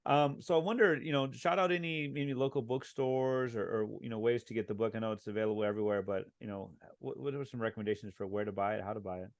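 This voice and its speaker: A man's voice: medium pitch, fast, hardly any pauses, no echo. A man hosting a podcast, asking long, rambling questions full of fillers in a casual interview. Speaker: podcast host.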